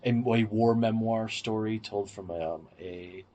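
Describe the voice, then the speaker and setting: A man's voice: on the low side, a little slow, mellow, muffled recording, slight background noise. A man hosting a podcast, giving an explanatory, opinionated review in casual discussion. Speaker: podcast host.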